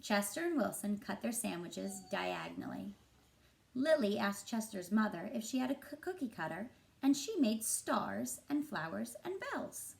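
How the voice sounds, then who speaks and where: A woman reading a picture book aloud to children as a teacher, in an expressive, deliberate and engaging way. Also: medium pitch, creaky. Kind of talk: expressive storybook narration for children.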